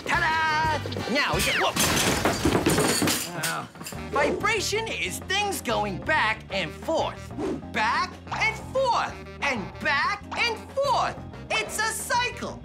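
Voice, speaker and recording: A man's voice: extremely high pitch, wildly swooping pitch, very slow, loud music behind the voice, voice pushed up, heavily acted. A man playing a quirky, nerdy shop salesman, explaining in a comic, theatrical and scatterbrained way for children's TV. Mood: eager, explaining. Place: cluttered shop set, indoors.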